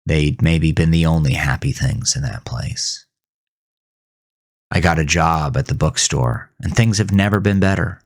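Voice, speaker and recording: A man playing a haunted, bitter farmer who narrates his horror story in a grave, brooding, gravelly voice. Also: very deep voice, unhurried. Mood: wistful, calmer. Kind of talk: scripted first-person horror narration.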